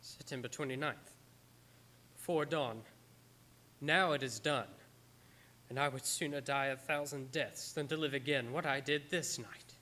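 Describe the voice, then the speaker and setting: A man's voice: medium pitch, measured pace, pauses often, pushed and bright. An actor playing an earnest, haunted doctor, narrating his journal in a tense, theatrical radio-play style. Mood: shaken. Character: earnest, haunted male doctor recounting horrors.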